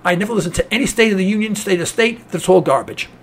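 A man's voice: high-pitched, quick pace. A man with a New York accent hosting a talk program, delivering a cynical political rant. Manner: cynical, ranting. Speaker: radio talk host.

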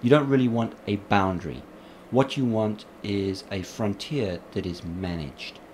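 A man's voice: on the low side, measured pace, a little mellow, slight background noise. A man who is a guest in an interview, answering in an assured, agreeable and explanatory way. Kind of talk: casual interview.

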